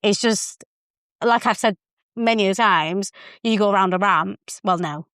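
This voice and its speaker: A woman chatting casually as a podcast co-host, telling things in an animated, conversational way. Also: mid-range voice, unhurried, no echo, clean studio sound.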